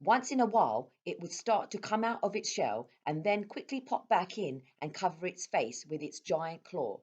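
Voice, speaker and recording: A woman reading a children's story aloud as a storyteller, with expressive delivery. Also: on the low side, moderate pace, breathy edge. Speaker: storyteller at a primary school.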